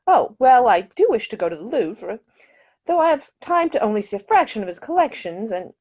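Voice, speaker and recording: Chatty young English gentlewoman voiced by a female narrator: raised pitch, very animated, cheerful, solicitous, audiobook romance. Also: brisk. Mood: concerned, eager. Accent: English, upper-class. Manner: eager, solicitous, talkative.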